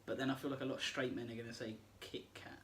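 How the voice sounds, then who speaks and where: A man's voice: mid-range voice, little pitch movement, quick pace, a bit bright, slight background noise. A young man, co-hosting a casual podcast, chatting and teasing as he reads out listener questions. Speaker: podcast co-host. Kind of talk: casual chat.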